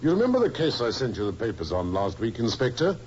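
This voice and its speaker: An actor playing a brisk, dutiful provincial police superintendent in an old-time radio drama. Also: male voice, mid-range voice, huge pitch swings, a little mellow. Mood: businesslike. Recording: muffled, noisy old radio recording.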